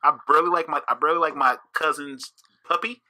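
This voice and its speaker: A man's voice: a little high, steady tone, quick pace, pushed and bright. A man in his thirties co-hosting a casual podcast chat, blunt and crude, joking and swearing freely. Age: 30s.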